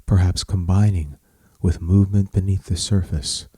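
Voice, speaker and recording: Male meditation guide: dark, soft-edged husky tone, soothing and measured delivery in short, spaced phrases. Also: low voice, steady tone, no room sound, clean studio sound.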